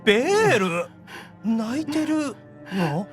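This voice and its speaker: An excitable young otaku demon from an anime audio drama, acted by a man. He exclaims theatrically, his pitch leaping widely, with music playing behind him. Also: very slow, exaggerated voice. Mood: startled, concerned.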